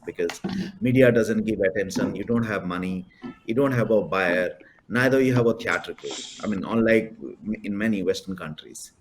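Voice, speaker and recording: A man's voice: lowish voice, noisy background. A man from India, a filmmaker on a panel, explaining his views in an earnest way. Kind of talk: panel discussion.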